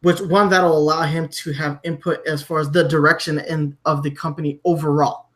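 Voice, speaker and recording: A man from Germany hosting a podcast, discussing news conversationally with halting, repeated word starts. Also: on the high side, level tone, fairly bright tone. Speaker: podcast host.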